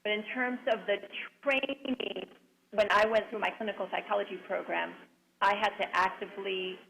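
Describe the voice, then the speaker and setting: A woman whose first language is Spanish, a clinical psychologist answering a panel question over a remote link in a measured, deliberate way. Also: medium pitch, fairly even intonation, vocal fry, fairly bright tone, low-quality recording.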